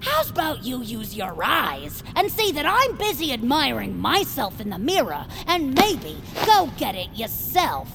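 A woman's voice: high-pitched, huge pitch swings, a little slow, gravelly, hardly any pauses, fairly bright tone, faint music behind, exaggerated voice. An actress playing a vain, stubborn henchwoman in a family audio drama, with a brash, emphatic way of talking. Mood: defiant. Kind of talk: family audio fiction character acting.

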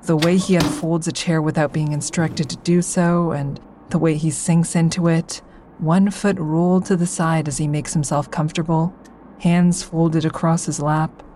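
An actress playing an observant, guarded woman, narrating in the first person for an audio drama in a measured way. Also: deep voice, flat delivery, dark tone, a little hiss or hum, close to an everyday voice. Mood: watchful, reflective.

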